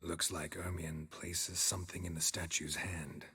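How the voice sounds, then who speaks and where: Deep, gruff deadpan monster hunter. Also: male voice, unhurried, full character voice. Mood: wry, curious. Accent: American. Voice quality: low gravelly. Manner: terse, deadpan, clipped phrasing. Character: gruff stoic middle-aged male monster hunter, dryly wry. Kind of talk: video game voice acting.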